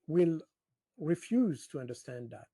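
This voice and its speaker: Male analyst, slightly high voice, very slow and deliberate, unscripted interview answers. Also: sing-song, crowd noise. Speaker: political analyst, interview guest. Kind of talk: spontaneous interview commentary.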